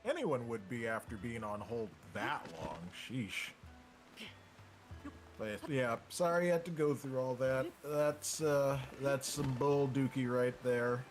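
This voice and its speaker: Calm sympathetic man, faint music behind. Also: mid-range voice. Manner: calm, sympathetic, measured storyteller. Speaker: stream co-host. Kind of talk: casual chat.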